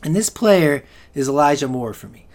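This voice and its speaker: A man's voice: fairly high pitch, lively intonation, moderate pace, a little mellow. A man hosting a solo fantasy football podcast, rambling with lots of restarts and fillers, and he is losing his voice. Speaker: podcast host.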